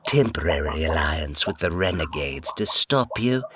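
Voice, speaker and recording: A man's voice: a bass voice, expressive, normal speed, fairly bright tone. A man playing an obedient, sinister spy in a fantasy audio drama, formal and deferential as he reports to his master. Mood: deferential, grave. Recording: noisy background bed.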